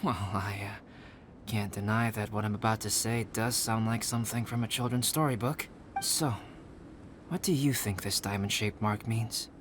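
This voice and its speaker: A man playing a calm, polite, scholarly young man in a video game, speaking in a measured, articulate way. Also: fairly low pitch, over music, close to an everyday voice. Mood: hesitant.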